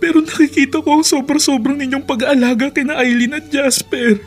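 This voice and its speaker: A man's voice: extremely high pitch, steady tone, fairly quick, soft background music. A man playing a dutiful eldest son who pleads earnestly with his father in an emotive radio drama. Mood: anguished.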